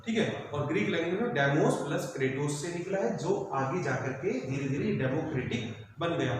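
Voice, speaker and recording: A man in a classroom lecture walks students through civics ideas, repeating each point and calling them "beta". His voice sits a little high for a man. The recording rings with heavy room echo over faint background noise. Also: lively intonation, a little fast. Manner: explanatory, repeats points, calls students "beta", mixes English terms into Hindi. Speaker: school teacher.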